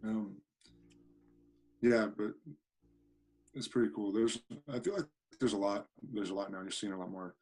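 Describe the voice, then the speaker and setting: A young man with a deep voice, a podcast guest chatting casually and hesitantly, often restarting his words. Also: steady tone, moderate pace, pauses often, darkish tone, husky, soft background music. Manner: easygoing, hesitant, repeats words. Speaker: podcast guest, punk band singer. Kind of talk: casual chat.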